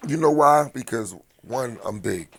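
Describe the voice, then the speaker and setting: Man, big gravelly voice, husky, low, blunt and profane, from philadelphia, interview guest. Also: lively intonation, unhurried, fairly bright tone. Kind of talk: casual interview talk.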